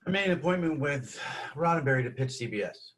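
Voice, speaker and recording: A man's voice: on the high side, lively intonation, fast, fairly bright tone. An actor playing a confident, breezy male studio colleague in a screenplay table read.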